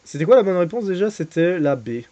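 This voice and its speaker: Man from France, quick joking commentary over a game, rapid-fire delivery. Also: high voice, expressive, dark tone, lo-fi sound. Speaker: let's play commentator. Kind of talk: casual gaming commentary.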